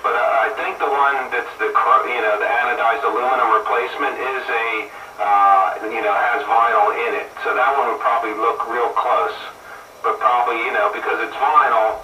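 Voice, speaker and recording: A man's voice: fairly low pitch, pushed and bright. Man on a noisy, echoey radio link. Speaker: radio hobbyist. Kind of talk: casual chat over radio. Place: two-way radio, heard through a receiver. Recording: distant, roomy, noisy radio transmission.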